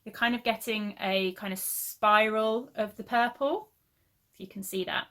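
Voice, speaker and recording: Chatty woman with a bright voice. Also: medium pitch, fairly even intonation, measured pace. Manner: chatty, rambling, frequent "um" fillers. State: losing her voice. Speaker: podcast host. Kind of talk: casual knitting podcast chat. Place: at home, indoors.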